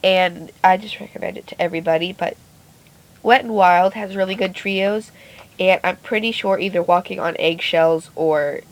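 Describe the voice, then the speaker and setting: Raspy-voiced woman chatting through a makeup tutorial. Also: lowish voice, normal speed, rough recording. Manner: chatty, rambling, self-correcting. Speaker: beauty vlogger. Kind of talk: casual makeup tutorial narration. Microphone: phone camera.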